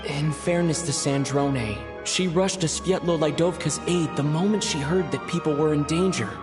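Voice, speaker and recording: An actor playing a polite, forthright young traveler in a video game, speaking in a measured, direct way. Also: male voice, on the high side, over music, close to an everyday voice. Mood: fair-minded. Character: polite, forthright young male traveler questioning those in power.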